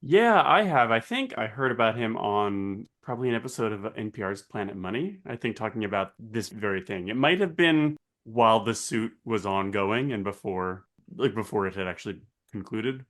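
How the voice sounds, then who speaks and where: A man's voice: on the low side, expressive, moderate pace, hardly any pauses, fairly bright tone. A man co-hosting a podcast in casual chat, recounting things with little acted-out quotes. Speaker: podcast co-host.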